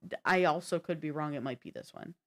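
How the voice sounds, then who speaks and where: A woman's voice: low voice, quick pace. A woman co-hosting a live stream in casual, unscripted chat, often thinking aloud and peppering her talk with "like". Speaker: podcast and live stream co-host.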